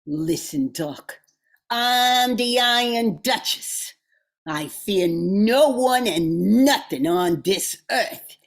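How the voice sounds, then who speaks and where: A woman's voice: medium pitch, expressive, drawn-out words, heavily acted. A woman playing a menacing, commanding female crime boss in an old-time radio thriller, tough and theatrical. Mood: imperious.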